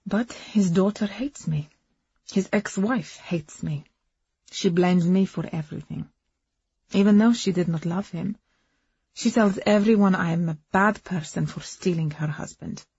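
Lady doing a dramatic wife pouring her heart out, breathy and husky, low voice, storybook style. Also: a little slow, dark tone, no echo, muffled recording, heavily acted. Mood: aggrieved, bitter. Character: passionate Eastern European immigrant wife confessing her love affair.

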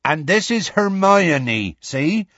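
A man putting on a loud gruff half-giant gamekeeper for a storybook reading, voice climbing high as he coaxes and scolds, lively and swooping. The sound is husky and a little dull, with a dry close feel. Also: slow pace, dry recording, heavily acted. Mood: coaxing. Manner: shouting up, coaxing and scolding.

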